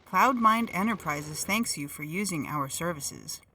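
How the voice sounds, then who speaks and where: A woman's voice: lowish voice, expressive, a little slow, bright, forceful tone, exaggerated voice. A woman playing a condescending, officious corporate customer-relations agent with fake politeness in a science fiction audio story. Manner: placating, officious. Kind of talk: dramatized audio fiction reading.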